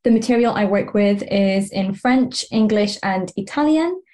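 A woman, a native Arabic speaker and doctoral student, answering interview questions thoughtfully with frequent pauses. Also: mid-range voice, animated, darkish tone. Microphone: video call mic.

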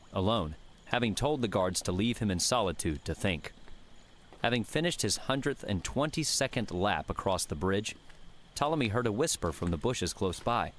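A man narrating an adventure novel as a scripted audiobook reading. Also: fairly low pitch. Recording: faint background noise and ambience.

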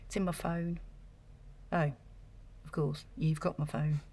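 An actress playing an anxious, self-doubting woman in an audio drama, speaking in a flustered, halting way. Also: sits low, little pitch movement, warm tone, no echo. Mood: subdued, shaken.

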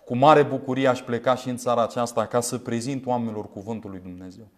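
A man in his thirties from Romania preaching a sermon in an earnest, emphatic way, using deliberate repetition. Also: medium pitch, steady tone. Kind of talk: sermon with scripture commentary. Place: small indoor room, standing at a glass pulpit. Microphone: lapel mic.

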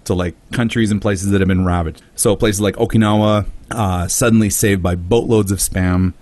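A man's voice: deep voice, fairly even intonation, normal speed, darkish tone, no echo. A man hosting a casual comedy podcast, telling a story with jokes and silly put-on voices for quotes. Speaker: podcast host.

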